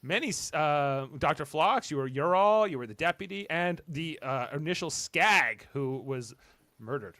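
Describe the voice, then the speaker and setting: A man's voice: a little high, expressive, moderate pace, sharp tone, no echo. A man hosting a podcast in casual chat, rambling and hesitant, with a joking way of talking. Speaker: podcast host.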